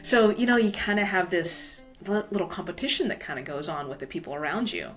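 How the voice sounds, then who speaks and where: A woman's voice: on the low side, big pitch swings, a little fast, soft background music. A woman from Hawaii, a guest author telling her story in a casual podcast interview, filling her talk with "you know". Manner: chatty, many "you know" fillers.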